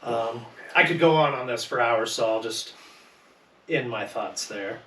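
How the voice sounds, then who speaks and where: A man's voice: medium pitch, a little slow, sharp tone. Roomy, distant-miked man chatting with enthusiasm. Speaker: podcast panelist. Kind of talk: casual panel discussion of films. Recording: roomy echo, distant mic, faint noise.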